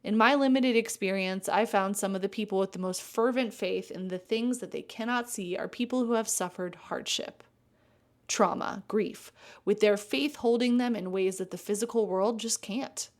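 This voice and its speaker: A middle-aged woman hosting a parenting podcast, frank and casually profane in relaxed conversation. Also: mid-range voice, moderate pace. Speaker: podcast host.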